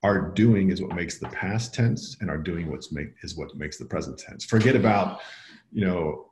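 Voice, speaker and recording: A man's voice: low voice, moderate pace, darkish tone, slight background noise. A man speaking as a guest and author in a podcast conversation, explaining his ideas in a reflective, earnest way. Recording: echoey room.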